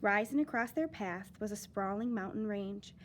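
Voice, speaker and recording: A woman teacher reading a children's picture book aloud, with lively, expressive storytelling and dramatic emphasis. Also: mid-range voice, moderate pace, slight background noise.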